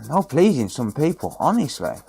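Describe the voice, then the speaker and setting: A man's voice: medium pitch, very animated, normal speed, creaky, mellow, husky, poor audio. A man chatting casually on a live stream while repairing a console, sarcastic and swearing often as he answers his chat.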